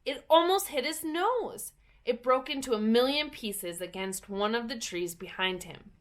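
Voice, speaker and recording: A woman's voice: on the high side, expressive, a little slow. A woman narrating a children's storybook as a story reader.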